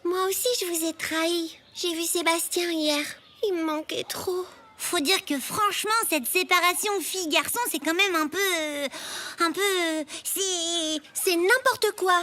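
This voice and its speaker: High-pitched, spirited schoolgirl. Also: a little slow, heavily acted. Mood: apologetic. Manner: expressive, childlike delivery. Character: spirited, sociable schoolgirls in a children's cartoon. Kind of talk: French animated series dubbing. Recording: music behind the voices.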